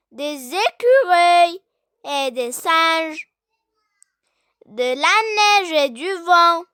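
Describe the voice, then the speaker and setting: A childlike voice reciting a poem for young learners, deliberately and phrase by phrase, with long pauses. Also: extremely high pitch, pushed and bright, no echo, clean studio sound.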